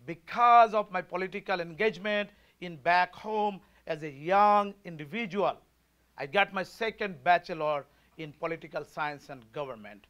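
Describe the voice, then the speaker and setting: A man's voice: high voice, normal speed, a bit bright. A man in his forties from Bangladesh with a Bangladeshi accent, giving an emphatic, rousing campaign speech at a political forum. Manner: emphatic, rousing, leads call-and-response. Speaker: party chair candidate.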